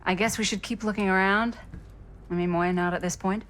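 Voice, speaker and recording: An actress playing a modest, guarded indie filmmaker in a video game cinematic, with an understated, hesitant, deadpan delivery. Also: female voice, mid-range voice, fairly quick, close to an everyday voice. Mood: dry.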